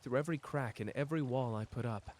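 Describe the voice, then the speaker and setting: A man playing a powerful, cocky but wounded sorcerer in an intimate audio roleplay, talking casually and teasingly straight to the listener with pauses. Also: mid-range voice, a little mellow, slight background noise, close to an everyday voice. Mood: hurt, confronting.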